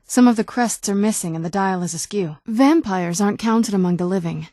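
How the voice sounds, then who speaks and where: A woman's voice: mid-range voice, a little fast, warm tone, dry recording, clean studio sound, natural voice. A young woman playing an ancient but youthful vampire noblewoman in a video game, speaking in a wry, understated, conversational way. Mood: curious, thoughtful. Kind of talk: video game character dialogue.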